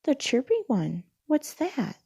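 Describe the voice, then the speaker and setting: Storybook joey , female reader: young boy, wide-eyed, excitable, pleading, raised pitch, close dry sound. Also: slow pace, creaky, no echo, full character voice. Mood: curious. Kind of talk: storybook read-aloud.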